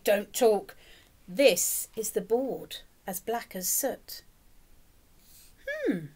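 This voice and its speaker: Woman, storytime reading, slow delivery, swooping intonation, expressive, encouraging, child-directed. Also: on the high side. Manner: expressive, exaggerated, encouraging, speaking as to small children. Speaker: teacher reading a picture book to young children. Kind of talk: animated children's storytime reading with questions to the listeners. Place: small indoor room, plain wall.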